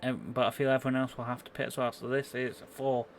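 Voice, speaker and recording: A man giving live play-by-play commentary on an online racing game, a little halting as he thinks through the action. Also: medium pitch, level tone, a little hiss or hum.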